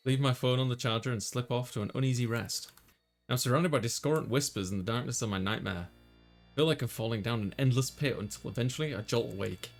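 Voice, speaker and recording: A man with a mid-range voice hosts a gaming video. He moves between relaxed casual chat and reading story lines aloud, with faint music underneath. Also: medium pitch, fast talker. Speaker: host, gamer reading player character lines. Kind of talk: casual let's play chat and read-aloud.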